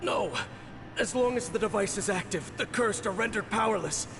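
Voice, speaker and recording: A man voicing a stern, mysterious warrior guide in a fantasy game, speaking gravely and with command. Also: high-pitched, normal speed, music in the background. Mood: grave, urgent. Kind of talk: scripted game dialogue.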